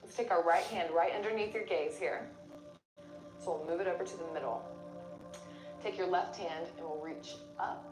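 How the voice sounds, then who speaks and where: A woman yoga instructor leading a class, giving calm step-by-step cues with pauses between them. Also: lowish voice. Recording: roomy echo, music behind. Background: music.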